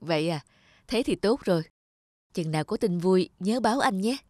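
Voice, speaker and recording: A man voicing an awkward, apologetic young man in an animated drama, hesitant but trying to be firm. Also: high voice, normal speed, no echo, clean studio sound. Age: young adult. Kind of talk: animated moral drama dubbing.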